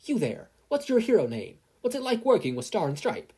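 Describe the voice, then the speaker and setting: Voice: medium pitch, sing-song, fairly quick, a little mellow, husky, voice pushed up. A performer voicing a pushy, demanding news reporter in an audio story.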